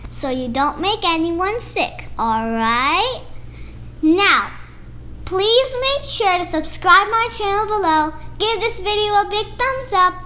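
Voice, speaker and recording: A young girl hosting a children's story channel, presenting picture books read aloud in an expressive way. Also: extremely high pitch, slight background noise. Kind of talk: reading a picture book aloud for kids.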